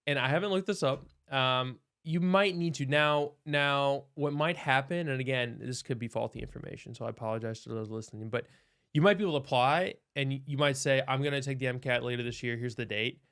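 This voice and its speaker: A man's voice: medium pitch, moderate pace, fairly bright tone, smooth. A man in his thirties with a mid-range voice talks over his career as a doctor. He is thoughtful and loose, circling back, restarting phrases and hedging as he goes. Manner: thoughtful, many restarts and hedges. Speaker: osteopathic doctor, podcast guest. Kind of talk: casual interview talk.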